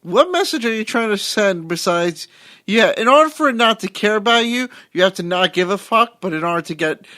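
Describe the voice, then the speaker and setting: A man's voice: sits high, animated, a little fast, bright, forceful tone. A man co-hosting a movie podcast in casual discussion, wry and questioning as he picks apart plot holes. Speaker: podcast co-host.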